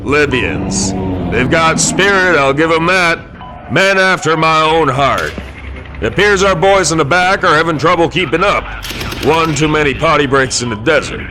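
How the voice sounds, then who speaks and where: A man's voice: on the high side, animated, normal speed, music in the background, close to an everyday voice. A man playing a brash, gung-ho American army colonel in a game cutscene, barking with swagger. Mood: cocky. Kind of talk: video game cutscene acting. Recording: loud battlefield gunfire and engines. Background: gunfire and vehicle noise.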